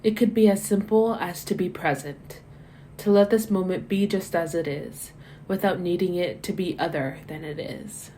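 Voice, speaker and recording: A woman guiding a body scan meditation as scripted narration, giving gentle, measured, soothing instructions that soften at the ends of phrases. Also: lowish voice, a little hiss or hum. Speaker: meditation guide.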